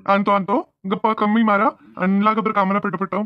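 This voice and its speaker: Man playing a high-voiced, impatient village farmer. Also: little pitch movement, brisk, dry recording, lightly acted. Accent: rural Maharashtrian Marathi. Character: impatient village farm owner hurrying workers, cartoon man. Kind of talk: cartoon comedy dialogue. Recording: dull lo-fi, dry close sound.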